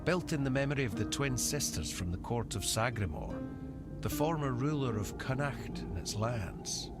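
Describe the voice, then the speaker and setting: An actor playing a cynical, shadowy guild member in a fantasy game, speaking in a measured, wry, secretive way. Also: male voice, mid-range voice, animated, close to an everyday voice. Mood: guarded, informative. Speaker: quest giver in a fantasy game. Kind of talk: scripted fantasy game dialogue. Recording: music behind the voice.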